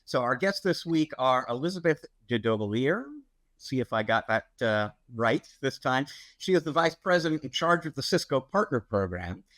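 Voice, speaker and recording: A man's voice: mid-range voice, expressive, normal speed, a bit bright, no room sound. A man co-hosting a podcast, introducing guests in a casual, upbeat way with frequent ums and uhs. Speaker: podcast co-host.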